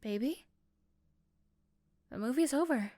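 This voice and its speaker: A woman's voice: high-pitched, expressive, slow, mellow, dry recording. A woman voicing a possessive yandere girlfriend in an ASMR roleplay, coaxing and pleading with tender pet names. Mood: tender, soothing.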